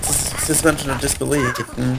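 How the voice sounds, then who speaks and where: Gravelly man needling his friends. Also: mid-range voice, flat delivery, moderate pace. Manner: needling, argumentative. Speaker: let's play co-host. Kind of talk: casual gameplay chat. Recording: noisy capture with game sound behind.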